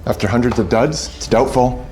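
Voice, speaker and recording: Male actor as young werewolf alpha for audio drama: medium pitch, proud, short-tempered, stubborn. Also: moderate pace, darkish tone, natural voice. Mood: dismissive. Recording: faint music bed behind the voice.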